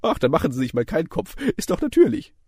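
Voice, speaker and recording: A man's voice: on the high side, wildly swooping pitch, quick pace, husky, no room sound, voice pushed up, exaggerated voice. A man doing a jolly grinning lecturer. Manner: cheerful, loud, bright. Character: jovial male lecturer, always grinning, spouting old sayings. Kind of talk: storybook reading.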